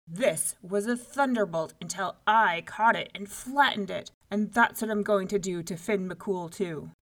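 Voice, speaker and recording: Woman acting out a storybook giant, male, bullying, boastful, loud, shouting, blustering. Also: mid-range voice, measured pace.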